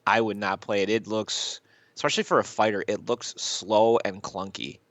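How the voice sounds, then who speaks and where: A man with a fairly low voice, recorded close and dry, chats as a podcast co-host. He talks in a rambling, halting way, circling back over his phrases and swearing casually as he gives his take. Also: fairly even intonation, a bit bright, dry recording. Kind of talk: casual chat, reviewing YouTube videos.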